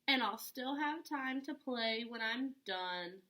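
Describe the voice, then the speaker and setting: A woman's voice: a little high, lively intonation, takes it slowly, pushed and bright, full character voice. A woman playing a cheeky, carefree little pig. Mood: breezy. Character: young lazy, carefree, cheeky male pig.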